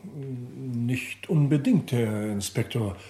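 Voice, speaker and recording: A man's voice: mid-range voice, animated, slow, warm tone. A man playing a cautious, reserved company representative in a radio crime drama, formal and reluctant in his answers. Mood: guarded.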